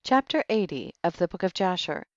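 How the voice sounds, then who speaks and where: Male audiobook reader, high-pitched for solemn scripture narration, measured, formal, dry and slightly muffled lo-fi sound. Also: big pitch swings, no room sound. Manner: solemn, measured reading with archaic diction.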